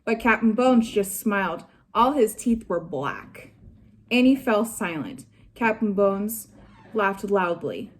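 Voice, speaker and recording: A woman reading a children's chapter book aloud in a slow, even storytelling manner. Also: medium pitch, a little hiss or hum. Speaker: staff reader.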